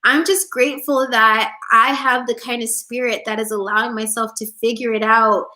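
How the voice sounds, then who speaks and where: A woman's voice: fairly high pitch, little pitch movement, normal speed, sharp tone. A woman in her twenties chatting casually in a livestream, answering viewer questions in an upbeat way with lots of "like"s. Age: 20s. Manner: chatty and upbeat, many "like"s, reads out viewer comments. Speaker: spiritual coach and vlogger.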